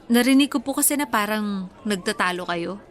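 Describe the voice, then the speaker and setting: An actress in a Filipino radio drama playing a college instructor who is assertive, courteous and direct. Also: female voice, mid-range voice, animated, brisk, natural voice. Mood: concerned, polite. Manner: polite, direct.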